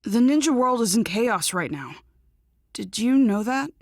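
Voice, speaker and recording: A woman's voice: a little high, expressive, a little slow, dry recording, clean studio sound. A woman voicing an earnest, determined young ninja boy in an anime game dub, serious and urgent. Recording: background music.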